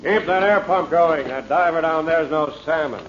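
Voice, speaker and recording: An actor playing a brisk, commanding river police captain in an old-time radio drama. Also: male voice, on the high side, fairly bright tone, soft background music, close to an everyday voice. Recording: muffled, noisy old radio recording.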